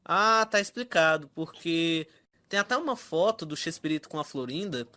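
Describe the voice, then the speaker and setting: A man's voice: fairly high pitch, expressive, normal speed, pushed and bright. A Brazilian man in a casual roundtable discussion as one of the panelists.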